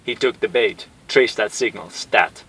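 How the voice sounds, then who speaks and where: A man's voice: fairly low pitch, measured pace, a bit bright, slight background noise. A man voicing a brash army colonel who barks orders and taunts his enemy. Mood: urgent. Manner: barking, taunting.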